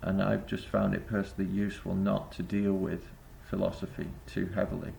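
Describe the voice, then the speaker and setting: Male vlogger, low and heavily gravelly, rambling reflective delivery full of hesitations. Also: completely flat delivery, brisk, a little mellow, a little hiss or hum. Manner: rambling, hesitant, many filler sounds. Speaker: vlogger and busking singer. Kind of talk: reflective spoken essay to camera.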